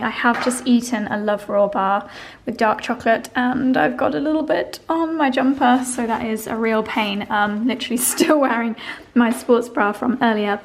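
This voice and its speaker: A woman vlogging casually, effusive and gushing about home and garden. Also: on the high side. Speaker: lifestyle vlogger. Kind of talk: casual vlog.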